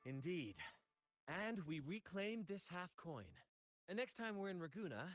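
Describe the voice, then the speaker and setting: A man's voice: high voice, lively intonation, measured pace, dry recording, studio quality, faint music behind. An actor playing a cocky, theatrical young male adventurer in a video game, teasing and showy. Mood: amused, relaxed.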